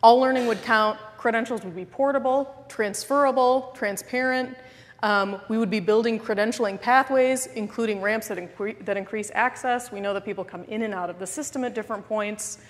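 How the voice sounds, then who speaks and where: A woman's voice: on the high side, normal speed. A woman in her thirties moderating a conference panel, presenting from a podium with some fillers. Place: large conference hall at a podium. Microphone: podium mic. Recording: reverberant hall.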